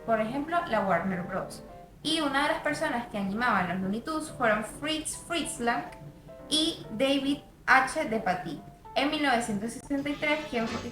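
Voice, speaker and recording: A woman's voice: a little high, a bit bright, echoey room, low-quality recording. A woman reading a scripted narration for a student video essay.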